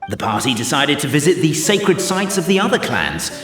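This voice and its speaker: A man's voice: fairly high pitch, big pitch swings, moderate pace, a bit bright, soft background music. A man playing a theatrical storyteller who narrates a video game adventure in a formal, dramatic way, with long reverb. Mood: dramatic, knowing.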